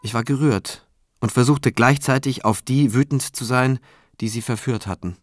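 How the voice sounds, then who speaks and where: A man's voice: medium pitch, moderate pace, dry recording, soft background music, lightly acted. An actor playing a state prosecutor who narrates his case in a reflective, dry, observant first-person style for a radio drama. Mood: reflective, wry. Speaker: narrator and lead character.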